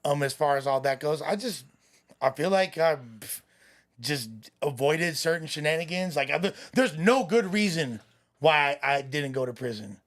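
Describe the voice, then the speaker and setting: Streetwise West Los Angeles man, podcast guest, casual slang, slightly raised pitch. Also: expressive, normal speed, fairly bright tone. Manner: streetwise slang, laid-back, says "you feel me" a lot. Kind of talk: casual chat.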